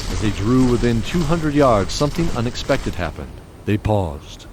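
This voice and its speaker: A man narrating an audio drama in a measured, descriptive storytelling manner. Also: medium pitch, big pitch swings, background noise. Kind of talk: scripted narration. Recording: music bed under voice.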